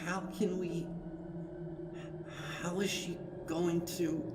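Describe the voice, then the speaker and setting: A man voicing an anguished young female pegasus, brash but breaking, with pleading, halting delivery in a dramatic story reading. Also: high-pitched, flat delivery, roomy sound, big-room sound, very noisy, voice pushed up. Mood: stunned grief. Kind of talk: dramatic fan fiction reading.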